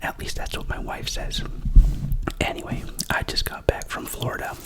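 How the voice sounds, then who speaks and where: A young man with a cough whispering a rambling, chatty ASMR unboxing as the channel's host. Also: moderate pace. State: sick with a cough.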